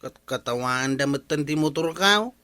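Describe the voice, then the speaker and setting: A man's voice: a little high, lively intonation, moderate pace, sharp tone, no echo. A man playing an aggrieved, broke driver in an Ilocano comedy drama, complaining with an Ilocano accent. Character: aggrieved, broke husband with a worn motorbike.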